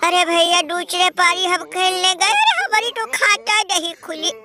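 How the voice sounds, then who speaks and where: A man voicing a sobbing, beaten cricketer in a comic cartoon, with a squeaky, sped-up voice, wailing melodramatically. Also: big pitch swings, measured pace, sharp tone, heavily acted. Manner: melodramatic wailing, crying while talking.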